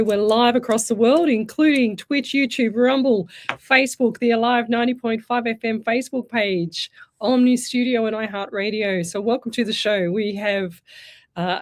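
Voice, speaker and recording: An Australian woman hosting a live radio show, chatting casually with a croaky voice from a sore throat, stumbling over words and joking about herself. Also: mid-range voice, a little mellow, no room sound. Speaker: radio show host, psychic medium. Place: radio studio.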